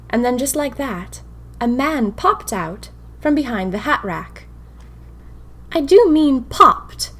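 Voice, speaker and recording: A woman acts out a bored, imaginative young typist from the 1920s in a diary-style fiction podcast. Her medium-pitched voice is expressive and wry. She confides each entry with dramatic pauses and a whimsical sense of mystery. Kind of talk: first-person diary audio fiction narration.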